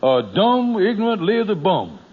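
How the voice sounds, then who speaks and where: A boastful con artist in a 1940s radio comedy, talking big in a high, blustering voice. The sound is dull and muffled, like an old radio broadcast. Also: male voice, very animated, crowd noise. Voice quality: fast blustering dialect talk. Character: boastful scheming middle-aged man, smooth-talking con artist.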